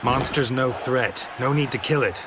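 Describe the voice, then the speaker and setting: An actor playing a gruff, world-weary monster hunter, terse and deadpan with a gravelly voice. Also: male voice, medium pitch, moderate pace, heavy background noise, close to an everyday voice. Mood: terse, focused. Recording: loud music under the voice.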